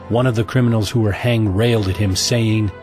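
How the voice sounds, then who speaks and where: A man giving measured, solemn scripted narration of scripture. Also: lowish voice, steady tone. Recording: prominent music bed.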